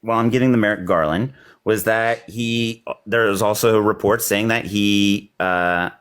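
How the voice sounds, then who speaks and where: A man arguing politics in a heated call, combative and rambling with many fillers, his voice a little hoarse. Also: on the low side, normal speed, fairly bright tone. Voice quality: slightly hoarse. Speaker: debate caller.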